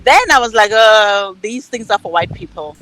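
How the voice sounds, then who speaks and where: A woman's voice: mid-range voice, expressive, normal speed, rough recording. A woman in her thirties from South Africa with a South African accent, candidly telling her story as an interview guest. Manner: bright, candid, repeats words.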